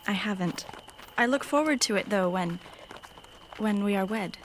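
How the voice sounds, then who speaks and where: A woman's voice: mid-range voice, expressive, normal speed, background noise, natural voice. Woman playing a demure young noblewoman. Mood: demure, polite. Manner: formal, demure, careful. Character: polite, guarded young noblewoman, a betrothed bride. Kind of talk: fantasy audio drama acting.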